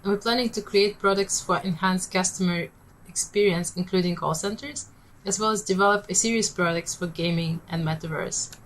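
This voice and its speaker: Polish-accented woman pitching her startup. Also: normal speed, a little hiss or hum, poor audio. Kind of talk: rehearsed pitch presentation.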